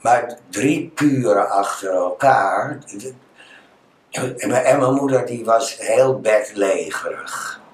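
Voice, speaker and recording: A Dutch man talking as an interview guest, telling personal stories conversationally and halting as he searches for words. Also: on the low side, expressive. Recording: echoey, reverberant room.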